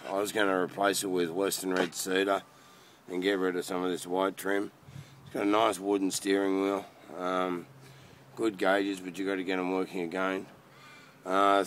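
Australian male, casual private seller on handheld phone video, low monotone, matey and slangy, outdoor background noise. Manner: blunt, matey, slangy with mild swearing. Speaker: private seller showing his boat. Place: outdoors in a car park.

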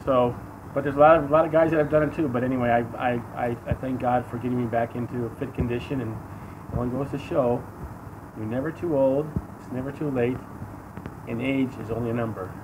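Male, 60s, American. Earnest, emphatic testimony in conversational style, shot outdoors over clear traffic noise. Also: mid-range voice, fairly even intonation, fast. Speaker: interview guest giving testimony. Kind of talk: casual personal testimony. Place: outdoors against a sunlit wall. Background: vehicle and street noise.

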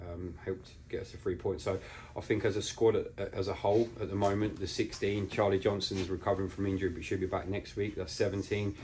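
Deep, flat-toned male football manager. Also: a little hiss or hum. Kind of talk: post-match interview. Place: small bare indoor room.

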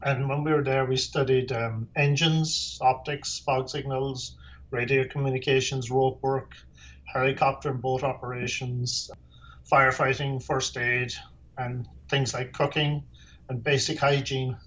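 A man's voice: mid-range voice, flat delivery, a little slow, a bit bright, highs cut off. A middle-aged man with an Irish accent, a former lighthouse keeper answering interview questions in a plain, matter-of-fact way with dry humor. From Ireland.

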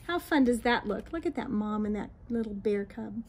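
High, sing-song American woman reading to children. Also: animated, normal speed. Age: middle-aged. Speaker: children's read-aloud host, teacher. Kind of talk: expressive picture-book read-aloud for young children. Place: small backyard patio, outdoors. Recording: light outdoor background noise.

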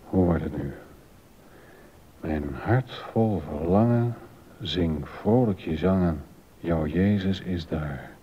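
An actor playing an absorbed middle-aged male composer in a drama, musing haltingly and correcting himself as he thinks aloud. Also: breathy edge, heavily acted. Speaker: actor in a docudrama. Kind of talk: Dutch radio drama acting, thinking aloud. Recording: dull lo-fi sound with rumbling noise.

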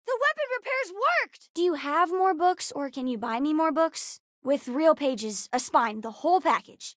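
A bright, bookish young girl for a sci-fi video game. Her voice is very high, with an eager, earnest, chatty way of talking. The sound is close and dry, with no room on it. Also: no echo. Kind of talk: scripted video game voice lines.

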